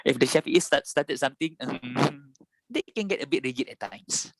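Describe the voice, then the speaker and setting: A man's voice: medium pitch, animated, normal speed. A man in casual conversation on a video call, chatty and laughing at his own remarks as he presents.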